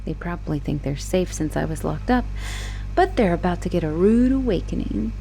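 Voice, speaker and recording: A woman playing an obsessive, manipulative young woman who escaped an asylum, in a teasing, expressive roleplay monologue spoken straight to the listener. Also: fairly low pitch, brisk, vocal fry, slight background noise, low-quality recording. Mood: exasperated, scheming. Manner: teasing, possessive, expressive, swinging between sweet and exasperated. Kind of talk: dramatic roleplay audio monologue addressed to the listener.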